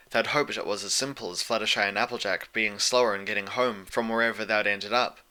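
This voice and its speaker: A man's voice: fairly low pitch, pushed and bright. A man reading scripted fiction as an audiobook narrator.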